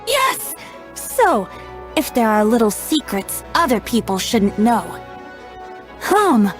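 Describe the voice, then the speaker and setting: Youthful male game bard, played by a woman: high, lilting, unhurried, breezy and coy. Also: very animated, soft background music. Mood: playful. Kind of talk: video game voice acting.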